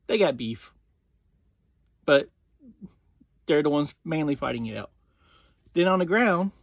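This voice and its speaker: A man hosts an informal recap in a fairly high-pitched voice. He talks very slowly and haltingly, breaking his sentences into short pieces and restarting with "well". The sound is dry, with hardly any room on it. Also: no room sound. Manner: halting, starting many phrases with "well". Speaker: host of a review series. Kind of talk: casual episode review, informal recap.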